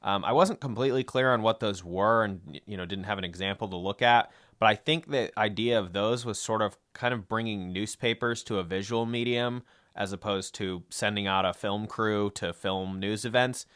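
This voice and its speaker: A man hosting a history podcast, explaining things in a measured, semi-scripted way with hedging asides. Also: lowish voice, a bit bright, clean tone, no room sound, studio quality. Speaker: podcast host.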